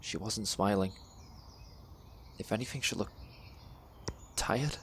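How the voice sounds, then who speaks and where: Low-voiced young man performing spoken word. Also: fairly bright tone, slight background noise. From England. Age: young adult. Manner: measured, deliberate storytelling with pauses. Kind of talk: dramatic spoken word monologue.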